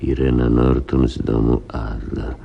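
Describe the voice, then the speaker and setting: A man's voice: little pitch movement, a little slow, dull audio, a little hiss or hum, heavily acted. An actor playing a haughty but gracious king in a radio play, stately and condescending in manner. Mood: reading solemnly. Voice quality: very deep, dark, gravelly, husky. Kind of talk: Polish radio play acting.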